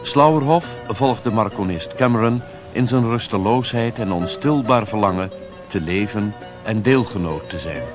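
A man with a fairly low voice announces a radio play in scripted style. Plucked guitar music plays clearly behind him on an old recording. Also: expressive, normal speed. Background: loud plucked guitar music.

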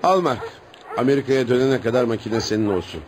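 A man's voice: medium pitch, expressive, brisk, background noise, close to an everyday voice. An actor in a radio play voicing a man's reluctant, comfort-loving friend, protesting and wry. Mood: resigned, wry. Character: reluctant, comfort-loving, teasing American photographer friend, male.

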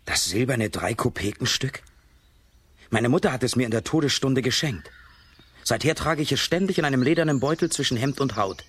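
A naive, easygoing young peasant fellow in a children's radio drama. The voice is husky and mid-pitched, very expressive, with a questioning, wondering way of talking. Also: male voice, brisk. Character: naive, lazy, good-natured Russian peasant lad. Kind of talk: children's radio play acting.

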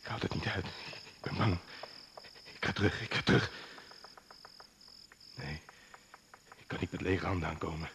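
A man's voice: low-pitched, moderate pace, gravelly, pauses often. An actor playing a frightened, resentful man muttering to himself in a radio drama, on a noisy old recording. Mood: panicked. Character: frightened, resentful man lost alone in the jungle.

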